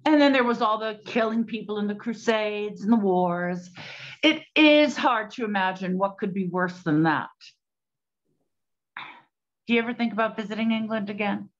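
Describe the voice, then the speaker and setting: A woman's voice: mid-range voice, normal speed, lightly acted. A woman playing a proud, matter-of-fact lady detective of the 1920s in a radio-style play. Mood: reminiscing. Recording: dull, muffled lo-fi sound.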